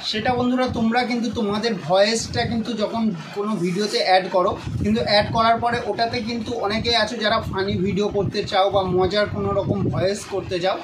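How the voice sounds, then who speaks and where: A man's voice: sits high, flat delivery, quick pace, noisy background. A man hosting an app tutorial, repeatedly addressing his viewers directly as he explains each step. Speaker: tutorial channel host. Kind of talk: scripted-sounding app tutorial narration.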